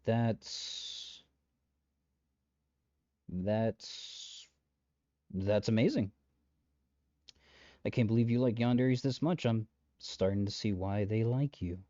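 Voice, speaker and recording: A lowish, mellow male voice acted by a man plays a chatty, exasperated matchmaker in a comedic audio drama. He speaks directly to the listener in wry, conversational asides full of dry understatement. The recording is close but slightly dull. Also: slow, pauses often, dry recording. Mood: awed, smitten. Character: exasperated, chatty male matchmaker peddling possessive lovestruck suitors.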